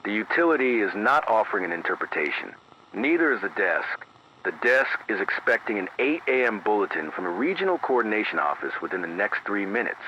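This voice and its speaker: A man playing a grave, measured emergency radio announcer, reading scripted horror bulletins in a formal, deliberate, careful manner. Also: on the low side, muffled recording. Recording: band-limited radio sound with hiss.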